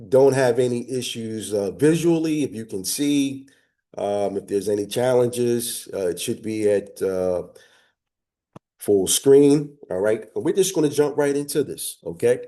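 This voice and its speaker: A man's voice: lowish voice, slow pace, mellow. A man with sinus congestion and a slightly nasal voice, giving an affable, upbeat business webinar presentation over a video call. He leans heavily on filler words.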